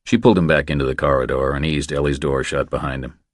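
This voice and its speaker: A man's voice: rumbling low, steady tone, fast talker, dry recording, clean studio sound. A man reading scripted thriller narration as an audiobook narrator.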